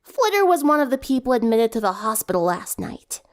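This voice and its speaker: Woman acting a brash, high-pitched teenage tomboy. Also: very animated, fairly quick. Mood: blunt. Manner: blunt, teasing.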